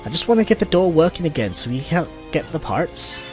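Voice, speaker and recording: A man's voice: fairly high pitch, sing-song, brisk, soft background music. A man reading game dialogue aloud as a flustered, embarrassed handy villager, fretful and pleading.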